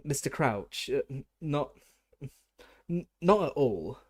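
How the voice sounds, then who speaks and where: A man's voice: mid-range voice, lively intonation, slow, husky, no room sound, pitched above the performer's own voice. A man voicing a stern, blustering middle-aged wizard official who barks accusations. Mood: flustered. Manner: barking, accusing.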